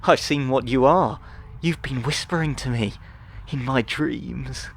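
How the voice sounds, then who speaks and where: A man's voice: medium pitch, unhurried, creaky, slight background noise, voice pushed up. A man voicing a male expedition member in a dramatised sci-fi audiobook, speaking with intense emphasis. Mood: manic glee. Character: male expedition member, sceptical, turning madly gleeful.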